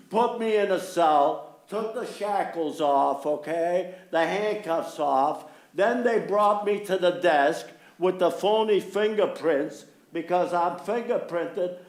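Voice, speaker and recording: Slow, insistent man in his nineties. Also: high voice, echoey room. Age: 90s. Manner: insistent, repeatedly asks if listeners understand. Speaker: resident giving public comment. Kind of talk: unscripted public comment at a meeting. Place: municipal meeting room.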